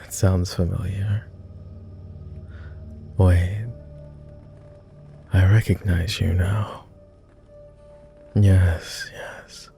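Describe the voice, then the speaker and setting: A weary, self-loathing male vampire, acted by a man for an ASMR roleplay, speaks in a deep, dark murmur with very little melody. His courteous, formal words come hesitantly and softly, with long-lived reserve. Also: level tone, gravelly, soft background music, full character voice. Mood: puzzled, softening. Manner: formal, measured, hesitant with pauses. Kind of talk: intimate ASMR roleplay acting.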